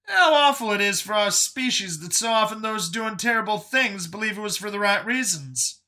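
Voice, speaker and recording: Audio story role: rueful escaped prisoner played by a man, high-pitched, emphatic, earnest, sharply forceful. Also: animated, moderate pace, heavily acted. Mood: sad, rueful.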